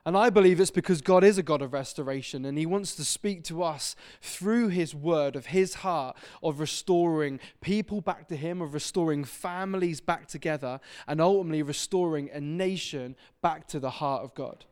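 A man's voice: on the high side, moderate pace, hardly any pauses, darkish tone, clear, resonant tone. A man in his thirties from Devon preaching a sermon in a church, chatty and self-deprecating. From England (Devon). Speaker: visiting preacher. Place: church hall.

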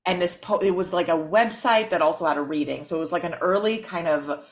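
Low-voiced woman, thoughtful and halting. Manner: thoughtful, many ums and restarts. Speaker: podcast guest, author and critic. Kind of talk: casual interview conversation.

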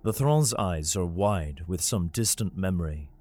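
A man narrating an audio drama in the first person as a weary father, measured and grim. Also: low-pitched, expressive, darkish tone. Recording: faint music behind.

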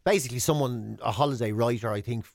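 A man with an Irish accent hosting casual, comic radio banter, telling stories in an animated way and repeating himself for emphasis. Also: medium pitch, fast, vocal fry, dry recording. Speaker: radio show host. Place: radio studio. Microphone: close broadcast microphone.

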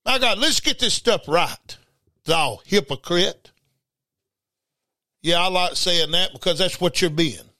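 High-pitched preacher man, angry and scolding. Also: slow, long pauses, bright, forceful tone, no room sound, clean studio sound. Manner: indignant, confrontational, scolding; rhetorical questions and repeated refrains. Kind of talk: fiery informal sermon.

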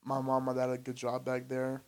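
A young man from Albania chats loosely as a podcast guest. His talk is relaxed and full of "like" and "bro". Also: mid-range voice, a little fast. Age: young adult. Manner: loose and chatty, says "bro" and "like" a lot. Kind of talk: casual chat.

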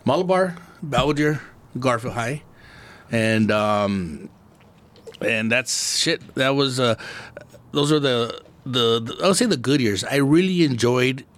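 Middle aged guy, east la chicano accent, normal pitch, podcast host just chatting, slangy w some spanish and cussing. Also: lively intonation, slow, a bit bright. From East Los Angeles, born in Mexico. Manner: laid-back street slang peppered with Spanish and swearing. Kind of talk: casual podcast chat.

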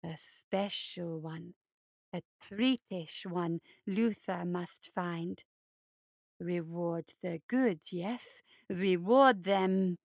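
A woman's voice: on the low side, very slow, creaky, dry recording, clean studio sound, pitched below the performer's own voice, full character voice. A woman voicing a huge, hungry, blood-soaked male hare monster who murmurs in broken grammar. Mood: coaxing.

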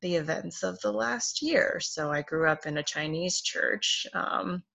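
Woman from ohio, slow talker, bit of fry, jokey. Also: lowish voice, sharp tone. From Ohio, United States. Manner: slow, wry, joking. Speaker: podcast guest. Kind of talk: casual podcast chat.

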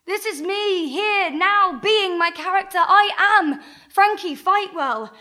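A woman's voice: very high-pitched, takes it slowly, pushed and bright, heavily acted. A woman playing a plucky, defiant adventure heroine in a radio play, with a dry sarcastic way of talking. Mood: hurt, insistent.

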